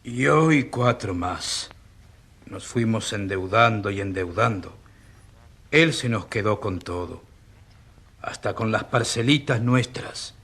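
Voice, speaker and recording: A humble, unschooled farmer in a radio drama. His voice is husky and fairly low, and his simple rustic speech comes very slowly. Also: animated, fairly bright tone, full character voice. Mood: resigned, bitter.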